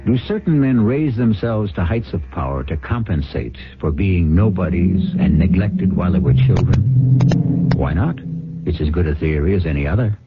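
A man's voice: sits low, expressive, normal speed, highs cut off. An actor playing an ambitious, brash company boss who recounts his own rise with wry, salesman-like patter in a 1970s radio drama. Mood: wry, brooding. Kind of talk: 1970s radio drama acting with first-person narration. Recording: band-limited 1970s radio broadcast with music.

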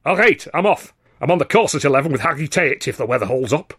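A man voicing a loud, boorish, burly male comedy star, barking and sneering. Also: a little high, lively intonation, quick pace, husky, pitched above the performer's own voice. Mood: contemptuous.

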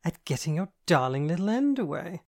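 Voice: medium pitch, sing-song, a little fast, darkish tone, voice pushed up. A reader doing a flamboyant camp young man. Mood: teasing. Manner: grand, theatrical, teasing. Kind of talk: audiobook dialogue.

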